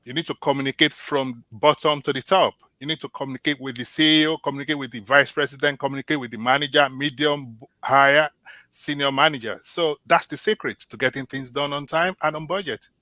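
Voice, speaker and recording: A man from Nigeria whose first language is Yoruba, answering interview questions as a job candidate in an earnest, measured way with small restarts. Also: mid-range voice, steady tone, bright, forceful tone, no echo.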